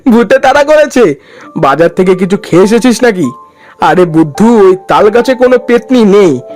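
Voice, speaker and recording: A woman voicing a calm village husband who scoffs loudly at ghost talk. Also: medium pitch, measured pace, soft background music, lightly acted.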